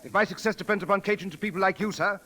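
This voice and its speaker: Proper British manservant, bright higher voice. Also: male voice. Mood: indignant, formal. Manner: polite, halting, formal. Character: proper, deferential British manservant turned restaurant owner. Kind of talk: 1930s radio acting. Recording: vintage radio broadcast recording.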